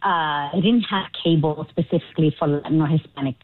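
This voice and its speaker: A lively woman guest speaks in a slightly low voice, breaking into laughter and acted-out quotes. Her voice comes through a thin, phone-like line. She talks casually about her work. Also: brisk, no echo. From Chile. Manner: animated, laughs often, acts out quotes. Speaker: stage actor, guest. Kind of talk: casual interview chat.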